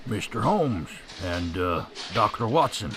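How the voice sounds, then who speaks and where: An actor playing a plain-spoken, concerned airport mechanic in an audio drama, talking casually. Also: male voice, fairly low pitch, very animated, unhurried. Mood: friendly, sober.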